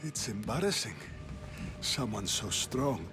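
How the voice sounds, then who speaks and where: A man's voice: on the low side, lively intonation, over music. A frail, weary old statesman. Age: older. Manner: slow, weary. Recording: game score playing behind.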